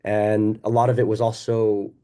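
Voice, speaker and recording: A male actor talks in a fairly low voice, relaxed and joking about himself. He laughs between thoughts and speaks in a loose, conversational way. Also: moderate pace, clean tone. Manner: casual, laughing, self-deprecating. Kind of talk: festival Q&A answer.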